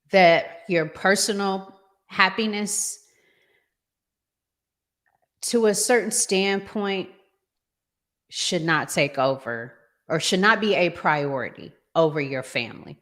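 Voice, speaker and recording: A woman with a fairly low voice talks as a podcast co-host. She takes her time, placing her phrases deliberately and with emphasis in a conversational discussion. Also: a bit bright.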